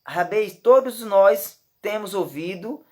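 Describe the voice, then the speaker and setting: A Brazilian woman with a Brazilian Portuguese accent, teaching from the Bible. She reads the verses slowly, repeats her points emphatically and speaks straight to the listener. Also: medium pitch. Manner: emphatic and repetitive, addresses the listener directly. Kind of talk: Bible teaching with slow scripture reading.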